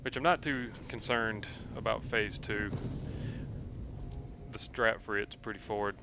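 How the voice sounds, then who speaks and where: A man's voice: lowish voice, fairly even intonation, a little slow, highs cut off. A man giving casual commentary on his own video game playthrough, often muttering asides to himself. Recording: clearly noisy background.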